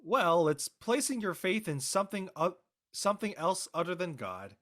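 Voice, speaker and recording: A man playing a blunt, skeptical and slightly awkward high school boy in a script reading. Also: sing-song, normal speed, a bit bright. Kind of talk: casual live script reading.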